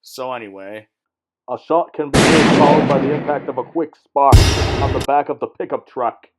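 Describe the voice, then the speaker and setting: A man's voice: mid-range voice, normal speed, very noisy. A man narrating a scripted radio drama in a theatrical, tongue-in-cheek manner.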